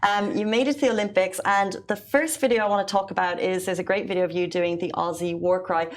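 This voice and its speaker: A woman's voice: medium pitch, steady tone, fast. A woman hosting an interview, asking casual, encouraging questions with fillers like "like". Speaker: interviewer, host.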